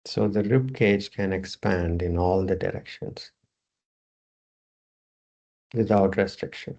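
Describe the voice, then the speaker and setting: A man teaching yoga, slowly and calmly guiding a relaxation meditation in short, spare phrases. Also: low-pitched, muffled recording. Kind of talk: guided relaxation meditation instruction.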